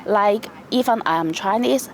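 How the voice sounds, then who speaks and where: A woman's voice: mid-range voice, moderate pace. A woman from Hong Kong with a Hong Kong accent, a native Cantonese speaker, answering plainly in an interview. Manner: simple, plain phrasing.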